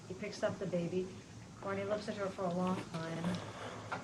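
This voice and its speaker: A woman's voice: on the low side, steady tone, normal speed. A woman reading scripted stage directions aloud at a table read. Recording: clearly noisy live room recording.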